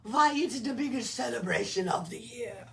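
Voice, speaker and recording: A woman voicing a gruff, hearty Nutcracker ornament with a Russian accent for a storybook, recorded at a distance. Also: fairly high pitch, animated, normal speed, voice pushed up. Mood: jovial. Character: gruff, jolly, proud Nutcracker ornament, big man. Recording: distant mic in noisy room.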